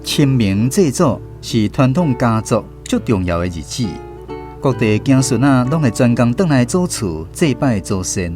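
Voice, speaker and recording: A man with a Taiwanese accent giving expressive, scripted storytelling narration for a radio drama. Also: mid-range voice, unhurried. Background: plucked guitar music.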